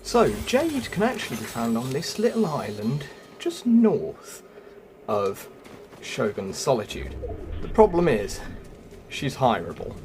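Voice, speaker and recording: A man's voice: mid-range voice, huge pitch swings, a little slow, a little mellow, slight background noise. A man giving casual walkthrough commentary as the host of a gaming video. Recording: music behind the voice.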